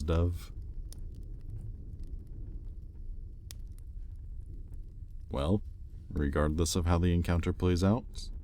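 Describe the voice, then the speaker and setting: An extremely low-pitched male demon, acted by a man, speaks in an intimate roleplay style. He is a teasing, sardonic captor, arrogant and curious, talking conversationally over faint background noise. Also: a little mellow. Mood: amused, teasing. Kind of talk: intimate audio roleplay acting.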